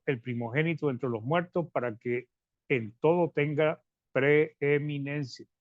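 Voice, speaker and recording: Soft-trailing preacher. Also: male voice, mid-range voice, no echo. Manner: addresses listeners as brothers, reads verses then explains; halting restarts, drops softly at phrase ends. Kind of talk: Bible-study sermon. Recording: dry, slightly dull sound.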